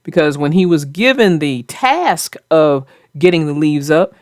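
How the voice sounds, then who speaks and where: A woman's voice: low-pitched, sing-song, a little slow, darkish tone, dry recording, clean studio sound. A woman hosting a children's read-aloud, speaking as a storyteller to young listeners. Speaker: children's storybook narrator and channel host.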